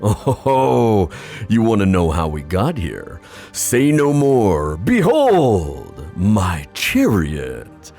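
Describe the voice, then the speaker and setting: A man playing a playful, scheming, boastful sea god in an ASMR fantasy roleplay, with theatrical, teasing, drawn-out phrasing. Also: on the low side, huge pitch swings, darkish tone, faint music behind, exaggerated voice. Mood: boastful, excited.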